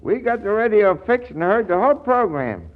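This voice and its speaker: An actor playing a cranky, folksy crackpot farmer in a 1940s radio comedy, with an accusing manner. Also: male voice, high-pitched, sing-song, normal speed. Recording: dull vintage 1940s radio recording.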